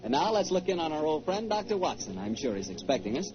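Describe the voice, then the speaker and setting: An American man announcing and pitching a sponsor in a folksy, conversational 1940s radio style, with a husky voice. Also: very animated, quick pace, loud music behind the voice. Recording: dull 1940s radio recording.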